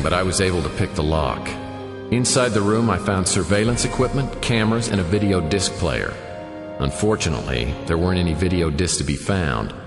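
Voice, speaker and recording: An actor playing a wisecracking hard-boiled male private detective, narrating with dry sarcasm. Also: low-pitched. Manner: dry, sardonic. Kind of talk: noir first-person radio narration. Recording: echoey with long reverb.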